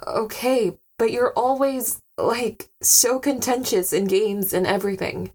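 A woman's voice: on the high side, unhurried, darkish tone. Candid, teasing wife, acted by a woman. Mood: concerned. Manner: casual, teasing. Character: Anna Kat, candid, teasing wife, sparring affectionately with her husband. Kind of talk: audio drama dialogue.

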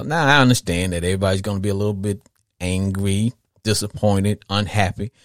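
A man's voice: low voice, no room sound, studio quality. A middle-aged man with a Southern US accent, hosting a casual sports talk podcast in a folksy, rambling way, repeating phrases for emphasis. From southern United States. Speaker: podcast host.

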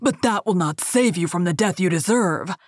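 A woman reading aloud as a furious male beast in a fairy tale, stern and grim. The voice is pitched on the low side and stays commanding. The sound is close and dry. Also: moderate pace, dry recording, close to an everyday voice. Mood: scornful.

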